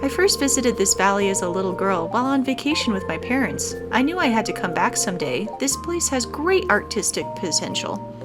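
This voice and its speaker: A woman's voice: medium pitch, big pitch swings, fairly quick, over music, natural voice. A woman voicing a friendly, earnest young female artist, reading video game dialogue aloud in a welcoming manner.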